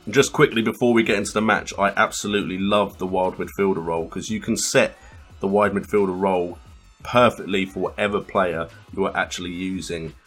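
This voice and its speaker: A man with a fairly low voice hosts a gaming video. He gives casual, explanatory let's play commentary, with quiet music underneath. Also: fairly even intonation, brisk. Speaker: gaming channel host.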